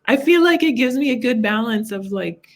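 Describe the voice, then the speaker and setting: A woman's voice: on the high side, lively intonation, normal speed. A woman from Los Angeles, a therapist guesting on a podcast, chatting casually in a bubbly, self-mocking way. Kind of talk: casual chat.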